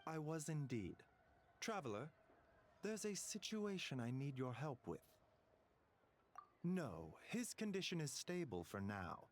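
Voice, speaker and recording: An actor playing a composed, courteous doctor in a video game, speaking formally and with measured concern. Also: male voice, a little high, sing-song, a little hiss or hum. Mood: grave, concerned.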